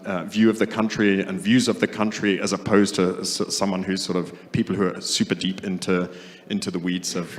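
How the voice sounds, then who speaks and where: A man's voice: fairly low pitch, a bit bright. A man moderating a conference panel, speaking with frequent hesitations and restarts. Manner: hesitant, many fillers and restarts. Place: conference hall. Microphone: handheld microphone. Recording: long hall reverb.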